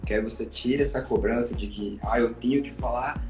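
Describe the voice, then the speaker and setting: Quick brazilian guy, teacher vibe, encouraging, roomy echo on the mic. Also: medium pitch, fairly even intonation, music in the background. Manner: encouraging, explanatory. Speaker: English teacher and traveler. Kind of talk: casual conversation giving advice.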